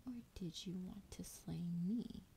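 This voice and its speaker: A woman voicing a sultry, menacing female dragon in a breathy, whispered fantasy roleplay, teasing and drawing out her words. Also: on the low side, mellow. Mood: teasing menace. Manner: slow, teasing, drawn-out delivery.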